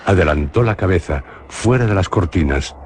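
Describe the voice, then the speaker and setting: A man with a Spanish accent narrating a suspenseful radio drama in a slow, grave, measured way. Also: sits low, expressive, background noise. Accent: Spain.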